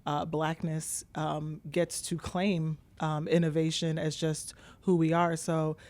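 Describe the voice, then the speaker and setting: A woman's voice: low voice, level tone, slow. A woman co-hosting a conversation podcast, hesitant and full of ums as she introduces her guests. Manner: hesitant, many ums, warm welcoming. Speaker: podcast co-host.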